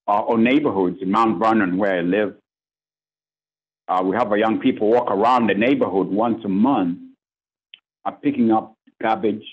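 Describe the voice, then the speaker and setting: A man's voice: on the low side, husky, narrow, tinny audio. A man from Uganda, a priest speaking on a conference panel over a video call, earnest and list-like with fillers. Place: plain indoor room, video call. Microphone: video call webcam.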